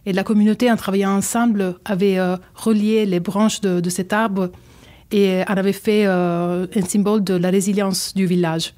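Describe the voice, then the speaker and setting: A woman from southern Italy with an Italian accent, answering interview questions carefully and pausing to find her words in French. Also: mid-range voice, level tone, warm tone. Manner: careful, searching for words, many fillers. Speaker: EU civil protection program officer.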